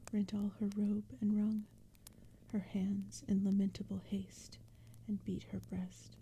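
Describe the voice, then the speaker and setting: Female ASMR poetry reader: breathy whisper, slow, gentle and even delivery, faint fire and forest ambience. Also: medium pitch. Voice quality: breathy whisper, mostly unvoiced. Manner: gentle, unhurried, even storytelling. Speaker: ASMR narrator reading poetry. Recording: steady background ambience noise. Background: crackling fire and forest sounds.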